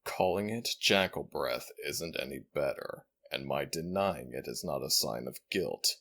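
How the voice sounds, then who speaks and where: A man voicing an ancient jackal god in an audio roleplay, regal and fondly exasperated, with formal, measured speech and a deep, gravelly voice. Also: lively intonation, sharp tone, exaggerated voice. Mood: flustered, indignant.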